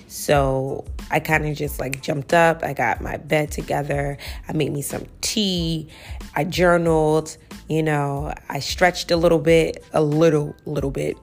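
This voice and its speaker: Low, gravelly-voiced woman podcaster. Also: fairly even intonation, a little slow, faint music behind. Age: 30s. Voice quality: a little raspy. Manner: chatty and self-reflective, full of "you know" and "like". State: early-morning voice. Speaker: podcast host. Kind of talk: casual solo podcast talk.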